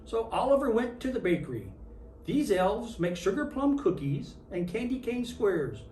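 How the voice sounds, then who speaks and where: Expressive storybook reader in an echoey room. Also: mid-range voice, moderate pace. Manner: expressive storytelling with acted character lines. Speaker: storybook reader in character as Santa Claus. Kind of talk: children's picture book read-aloud. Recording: roomy, distant mic with faint noise.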